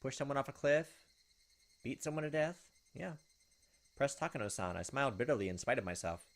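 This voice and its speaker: A man's voice: medium pitch, expressive, brisk. A man doing casual let's play commentary, moving between reading visual novel text aloud and giving his own reactions. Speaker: gaming video host.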